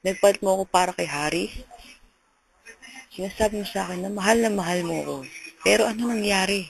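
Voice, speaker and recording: A man's voice: high voice, a little fast, vocal fry, poor audio. A man playing a lovesick, jealous young boyfriend in an amateur radio drama, with a Filipino accent. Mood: heartbroken.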